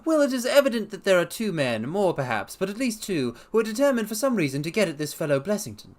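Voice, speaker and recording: A man voicing a self-assured gentleman detective in a storybook reading, brisk, precise and emphatic as he explains himself. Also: high-pitched, very animated, pitched above the performer's own voice.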